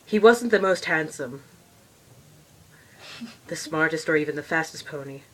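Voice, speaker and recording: A woman voicing a stuffy, horse-loving male troll who reads a storybook aloud in a formal, pompous way, with muttered, exasperated asides and a husky voice. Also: sits low, expressive, measured pace, a little hiss or hum, rough recording. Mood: earnest.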